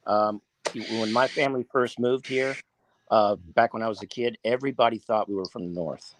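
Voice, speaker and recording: A man from Florida chatting casually, telling stories with halting repeats. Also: on the low side, background noise. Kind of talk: casual group chat over the internet.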